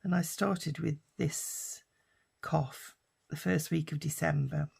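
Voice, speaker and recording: An older British woman with a husky, hoarse voice from a lingering cough, chatting casually while she crafts. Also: deep voice, fairly even intonation, takes it slowly, a little mellow. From United Kingdom. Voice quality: husky, raspy. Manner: rambling and chatty, with many fillers. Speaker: craft vlogger.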